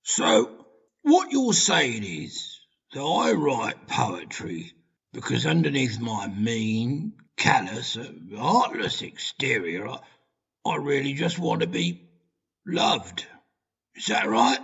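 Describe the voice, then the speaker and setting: A man playing a callous, pompous alien spaceship captain in an amateur audio drama, speaking in a deliberate, theatrical way. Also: medium pitch, big pitch swings, gravelly, a bit bright, lo-fi sound, full character voice. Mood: hesitant, probing. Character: menacing, callous male alien spaceship captain who writes poetry.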